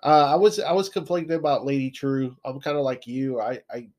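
A man's voice: medium pitch, fairly quick, over a noisy crowd. Stuffy-nosed man recapping a show. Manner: relaxed, recaps plot with "kinda" and "you know". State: stuffy nose. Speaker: podcast co-host. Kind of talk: casual chat.

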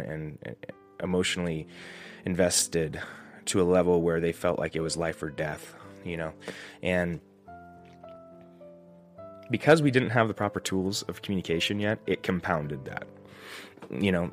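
A man hosting a solo podcast, getting over a cold, thinking aloud in a rambling, reflective way with many restarts. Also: sits low. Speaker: podcast host.

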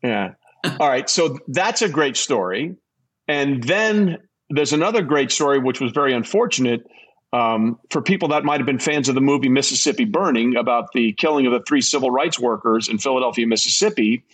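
A man's voice: mid-range voice, animated, normal speed, fairly bright tone, low-quality recording. An American man hosting a casual, conversational interview. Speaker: interviewer, host.

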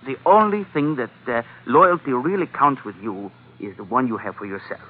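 A male actor playing a sly, insinuating gangster of about thirty with a Spanish accent and halting English in a 1940s-style radio drama. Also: mid-range voice, sing-song, husky. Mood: sly, friendly persuasion. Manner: insinuating, chuckling, halting foreign phrasing. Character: sly, chuckling gangster lieutenant, man of thirty-one. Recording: tinny, faintly noisy old radio recording.

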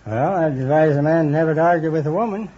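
Dry, higher-pitched American man near ninety. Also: fast talker. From Illinois, United States. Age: almost 90. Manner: brief, dry answers. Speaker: quiz show contestant. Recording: muffled old radio recording, loud audience and noise.

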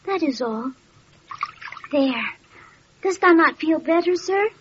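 A woman playing a gentle, earnest young boy in a classic radio drama, with solemn, simple archaic delivery. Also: high-pitched, lively intonation, slow pace. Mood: earnest, humble. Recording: dull, noisy old radio recording.

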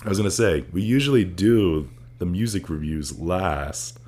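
A man co-hosting a casual podcast in an unusually deep voice, laughing often and swearing casually. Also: normal speed, a little mellow. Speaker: podcast co-host.

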